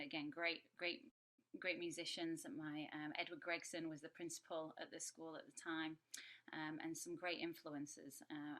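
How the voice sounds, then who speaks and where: A middle-aged woman from Yorkshire speaks with a plain Yorkshire accent in a low voice. Her tone is bright and forward. She talks in a relaxed, chatty way over a remote call, with lots of ums and small restarts. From Yorkshire, England. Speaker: guest brass educator. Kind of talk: relaxed conversational self-introduction.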